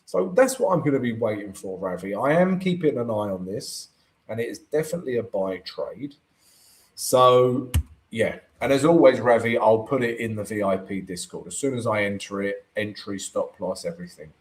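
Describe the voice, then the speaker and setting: A man with a croaky voice hosting a live trading stream, chatting through his charts and answering viewer comments, often checking in with "right?". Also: on the low side, normal speed, low-quality recording. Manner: chatty, keeps asking "right?" to the audience.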